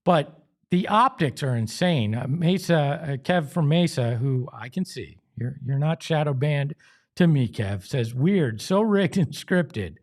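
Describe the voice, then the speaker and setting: A man's voice: a little high, lively intonation, unhurried, darkish tone. A man with an American accent hosting a sports show, speaking in an emphatic, conversational way. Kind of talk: opinionated sports talk and host-read ads.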